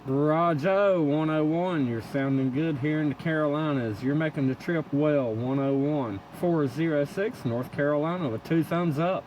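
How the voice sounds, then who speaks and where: Guy doing cb contacts, short call-sign bursts, kinda high voice, tons of noise. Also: normal speed, few pauses. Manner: clipped call-sign phrases. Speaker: CB radio operator. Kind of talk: CB radio contact chatter. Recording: very loud vehicle-like background noise.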